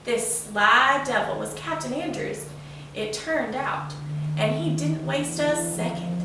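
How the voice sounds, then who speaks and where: A woman voicing a proud, folksy old male steam locomotive in a children's storybook, telling a tale eagerly. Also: high-pitched. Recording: echoey room, distant mic, faint noise.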